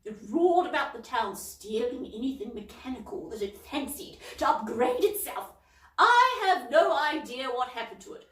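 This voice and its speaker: A woman's voice: sits high, sing-song, normal speed. Woman doing a prim, stern older lady. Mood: gossipy. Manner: prim, haughty. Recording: roomy, distant from mic.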